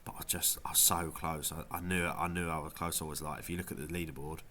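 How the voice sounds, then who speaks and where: A deep-pitched man whose voice comes out nasal from a head cold. His tone is bright and forward, and he talks through his results in a loose, rambling way, full of ums and false starts. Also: flat delivery, fast talker, a little hiss or hum. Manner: rambling, lots of ums and restarts. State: has a cold, sounds nasal. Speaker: fantasy football video creator. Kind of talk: casual gameweek review vlog.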